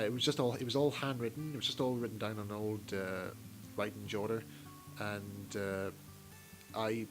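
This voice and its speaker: Male, lowish register; hesitant, stop-start creator giving an informal update over a clear music bed. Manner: halting, hesitant delivery with repeated phrases. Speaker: YouTube creator and host. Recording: music playing behind the voice.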